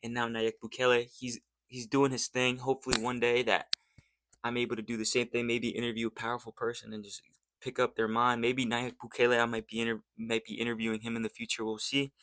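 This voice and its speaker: A man's voice: mid-range voice, monotone, fast talker, fairly bright tone, no echo. A young American man giving casual vlog commentary in an earnest, rambling, slangy way. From United States. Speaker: YouTube host.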